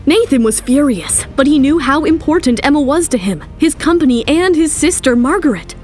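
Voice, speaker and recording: A woman's voice: high-pitched, lively intonation, normal speed, a little mellow, faint music behind. A woman narrator giving a scripted, dramatic telling of a story.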